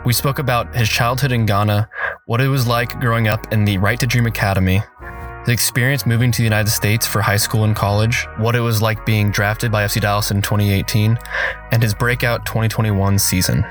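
A man's voice: lowish voice, steady tone, fairly quick, soft background music. A man hosting a sports podcast, introducing the episode and asking friendly, conversational questions. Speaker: podcast host. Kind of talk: podcast interview.

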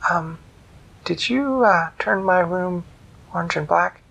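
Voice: mid-range voice, slow pace, gravelly, fairly bright tone, husky, lo-fi sound, voice pushed up, heavily acted. A storyteller voicing an earnest, polite young girl who pleads to get her way. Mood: pleading.

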